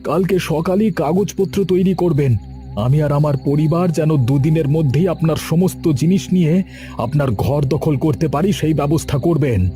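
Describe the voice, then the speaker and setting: A man's voice: a little high, brisk, mellow, faint music behind, lightly acted. A man playing a humble, loyal village fisherman in a cartoon, speaking earnestly and plainly. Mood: firm, aggrieved. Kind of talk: Bengali horror cartoon voice acting.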